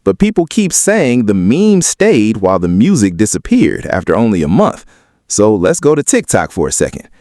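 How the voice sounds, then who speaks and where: A man's voice: fairly low pitch, wildly swooping pitch, moderate pace, dark tone, no echo, clean studio sound. A man giving opinionated video commentary in an emphatic, argumentative way. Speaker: video commentator on hip-hop.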